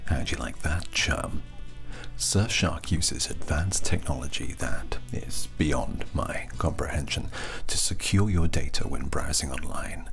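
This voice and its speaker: A British man reading a playful, scripted sponsor message in a soft-spoken way as an ASMR video creator. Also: a bass voice.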